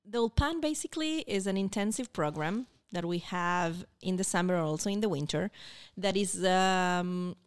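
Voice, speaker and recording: A woman's voice: on the low side, normal speed, smooth. A woman in her forties with an Argentine accent, a native Spanish speaker, giving casual explanatory answers as an interview guest. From Buenos Aires, Argentina. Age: 40s. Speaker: interview guest, university international student program staff.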